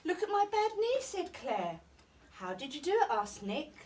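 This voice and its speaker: Woman, fairly high voice, expressive storytime reading, slightly distant microphone. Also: moderate pace. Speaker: storytime reader at a primary school.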